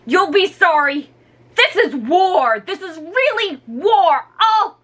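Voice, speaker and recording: A woman voicing a hot-headed young boy who wails and shouts in a storybook reading. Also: extremely high pitch, expressive, slow pace, pitched above the performer's own voice, exaggerated voice. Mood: angry threats. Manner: wailing, shouting.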